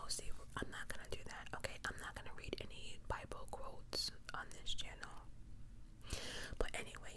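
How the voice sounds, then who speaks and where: An ASMR host speaking entirely in an unvoiced whisper, giving true crime commentary with conversational, opinionated asides.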